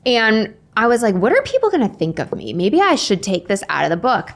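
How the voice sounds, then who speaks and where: Woman, forties, mid-pitched voice, casual storyteller, self-deprecating humor, quotes dialogue, podcast guest. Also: huge pitch swings, brisk. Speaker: author, interview guest.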